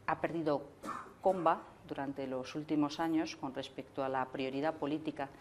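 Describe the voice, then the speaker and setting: A middle-aged woman, answering at length on a panel in a conference hall. She speaks in long, measured sentences and often restarts mid-phrase. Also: deep voice, steady tone, darkish tone, a little hiss or hum. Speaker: government minister on a panel. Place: stage in an indoor conference hall.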